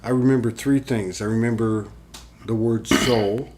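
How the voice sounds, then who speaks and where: A man's voice: on the low side, steady tone, normal speed, darkish tone. A man hosting a casual spiritual chat, talking in a rambling, earnest way with many "like"s and some casual swearing. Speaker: host. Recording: guitar playing behind.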